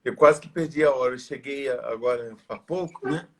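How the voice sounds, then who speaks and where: A tired, slightly hoarse male priest, leading prayers and preaching in a reverent, measured way. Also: mid-range voice, slight background noise. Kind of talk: live religious talk and prayer.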